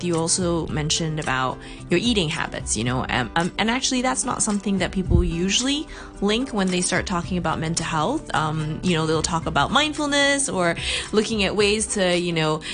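A woman hosting an interview show, asking questions with many "you know" fillers. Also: mid-range voice. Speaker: host.

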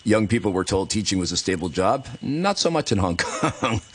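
A man's voice: on the low side, expressive, moderate pace, a bit bright, slightly rough. A man hosting a radio talk show, talking in a friendly, encouraging, conversational way. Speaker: radio host.

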